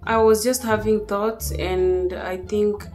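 A woman's voice: mid-range voice, flat delivery, slow pace, darkish tone, soft background music. A young woman with a Kenyan accent and Swahili as a native tongue, chatting casually and plaintively indoors. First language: Swahili and a mother tongue. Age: young adult. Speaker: vlogger playing a prank on her partner. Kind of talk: casual relationship phone chat. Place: small living room, seated on a sofa indoors.

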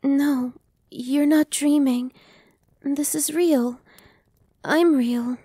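A soft-spoken young vampire woman, played by a young woman in an audio roleplay. Her voice is high yet mellow, slow and reassuring, slipping into whispers, recorded close and dry. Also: fairly even intonation, no room sound, exaggerated voice. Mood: soothing. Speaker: voice actress. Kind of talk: ASMR roleplay.

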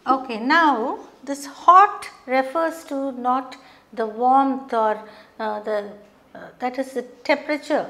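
Lady teaching at a whiteboard, high voice, talks slow, lots of okay. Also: bright, forceful tone. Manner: explains slowly, checks with frequent okay. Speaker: English teacher. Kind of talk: whiteboard English lesson. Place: studio classroom with whiteboard.

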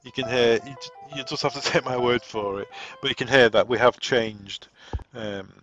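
A man giving an informal software setup walk-through, explaining conversationally and thinking aloud as he goes. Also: mid-range voice, fairly even intonation, normal speed, faint music behind. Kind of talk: informal software tutorial narration. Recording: dull, lo-fi, dry close recording.

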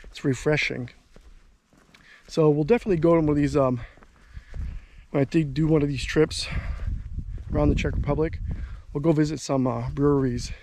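Man in his thirties, slightly high voice, loose rambling vlog talk, outdoors, faint background noise. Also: moderate pace, pauses often. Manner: rambling, relaxed, thinking aloud with fillers. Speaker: vlogger. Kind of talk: casual walking vlog. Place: outdoors on a country road between fields. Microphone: handheld camera at arm's length.

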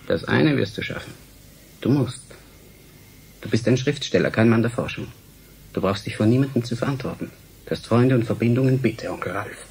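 A man's voice: mid-range voice, animated, normal speed, vocal fry, long pauses, darkish tone, slight background noise, rough recording. A man playing an earnest, blunt young scientist in a German radio drama, explaining things emphatically and impatiently. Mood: urgent, pleading.

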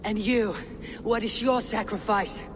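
A man's voice: high-pitched, steady tone, unhurried, noisy background. A man playing a proud, wary god in a fantasy game cutscene, with a terse, commanding manner. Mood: curt. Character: proud, wary armoured god seeking immortality. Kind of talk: dramatic game cutscene acting. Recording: long reverb, music behind.

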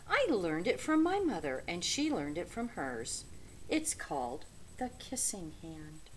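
A woman voicing a gentle, reassuring mother raccoon in a children's storybook, soothing and tender. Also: lowish voice, very animated, measured pace, a little hiss or hum. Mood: confiding.

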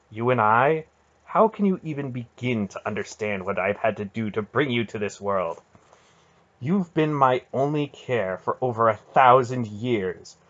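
A man's voice: fairly low pitch, fairly bright tone, highs cut off. A man voicing a stern, imperious ancient sorceress in an audiobook, commanding and cutting, with wounded intensity. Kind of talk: dramatic audiobook character voice.

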